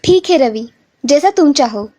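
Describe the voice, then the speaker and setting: Lady voicing confident young daughter-in-law in a cartoon, firm and outspoken, high pitch. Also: expressive, unhurried, dark tone. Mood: agreeable. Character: assertive, confident young female news reporter, new daughter-in-law.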